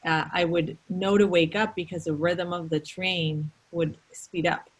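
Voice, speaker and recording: A woman from Colombia with two young kids, thoughtfully talking through her art and city life in a relaxed chat. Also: on the low side, unhurried, rough recording. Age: adult with children. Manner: reflective, thoughtful, many restarts. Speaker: consulting artist. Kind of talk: casual conversation.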